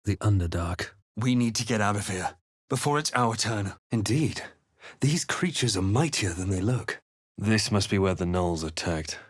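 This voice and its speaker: Brooding, low-pitched adventurer hero. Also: male voice, expressive, moderate pace, husky, dry recording, studio quality. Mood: solemn, reflective, then plain, matter-of-fact. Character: brooding, measured adventurer hero recounting his dark journey. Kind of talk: scripted fantasy game narration and character lines.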